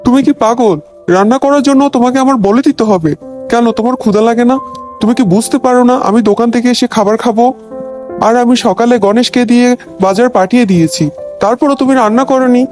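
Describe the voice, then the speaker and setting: Young cartoon husband, high voice, blunt, short-tempered, scolding, music behind. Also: male voice, fast, a little mellow. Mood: exasperated. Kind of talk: cartoon dubbing.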